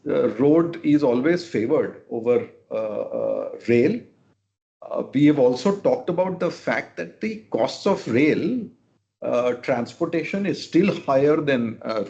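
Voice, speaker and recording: A man speaks as a company executive fielding analyst questions. He explains carefully and hedges as he goes, at a middling pitch. The call audio is somewhat muffled and lo-fi. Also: vocal fry, a little mellow. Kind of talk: earnings call Q&A. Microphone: conference call line. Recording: dull, lo-fi conference call audio.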